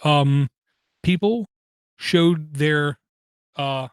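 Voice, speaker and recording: A man's voice: on the high side, clear, resonant tone, no echo. Man, podcast host, slight stutter, hesitant fillers, dry close mic. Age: adult, has kids. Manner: halting, frequent ums and uhs, slight stutter. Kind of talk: personal monologue.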